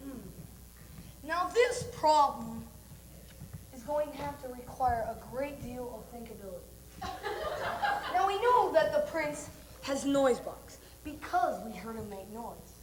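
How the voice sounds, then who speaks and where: Young woman playing a high, swooping, pompous wizard. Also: sing-song, takes it slowly, pauses often, heavily acted. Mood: pondering. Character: narrator and pompous, giggly, pondering wizard. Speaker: amateur stage performer. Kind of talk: amateur stage play acting. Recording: echoey, noisy amateur stage recording.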